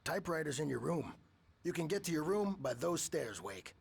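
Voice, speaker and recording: An actor playing a laid-back clinic orderly in a game, talking casually. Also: male voice, on the high side, big pitch swings, moderate pace, vocal fry. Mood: casual, bossy. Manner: casual, easygoing. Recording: game dialogue with background noise.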